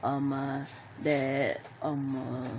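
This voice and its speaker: Female panelist, Ghanaian accent, deep voice for a woman, conversational opinion-giving, somewhat noisy recording. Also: little pitch movement, slow, darkish tone. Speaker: radio discussion panelist. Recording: noticeable background noise.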